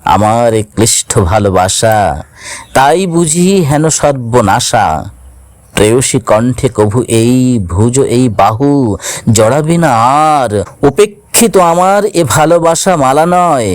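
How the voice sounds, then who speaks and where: A man reciting poetry in a solemn, sorrowful and expressive dramatic style, drawing out his phrases. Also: medium pitch, slow pace, no echo.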